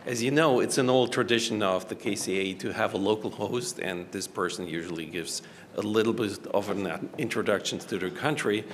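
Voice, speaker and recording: A man's voice: fairly low pitch, normal speed. A man hosting a conference, giving a formal but friendly introduction of a speaker from the stage. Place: large conference hall. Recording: echoey hall, faint background noise.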